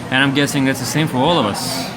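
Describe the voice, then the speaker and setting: A man's voice: medium pitch, fairly even intonation, fast talker, crowd noise, natural voice, rough recording. A man playing a guilt-ridden, resigned jailed killer in an amateur radio play. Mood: guilty, bitter.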